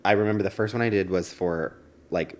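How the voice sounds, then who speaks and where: Low-pitched man, chatty podcast co-host. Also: brisk, a little mellow. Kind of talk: casual comic chat.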